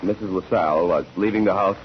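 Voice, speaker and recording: A man's voice: low voice, quick pace, close to an everyday voice. An actor playing a methodical male courtroom examiner in 1940s radio style, questioning in a formal, probing way. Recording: dull, noisy 1940s radio recording.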